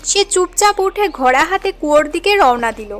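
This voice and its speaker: A woman's voice: sits high, expressive, fairly quick, a bit bright. A woman narrating a scripted cartoon story as a dramatic storyteller.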